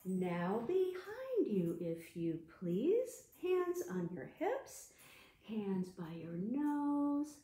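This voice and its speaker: A woman hosting an interactive storytime as a children's librarian, talking in an animated, sing-song way to small children. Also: fairly high pitch, drawn-out words, reverberant.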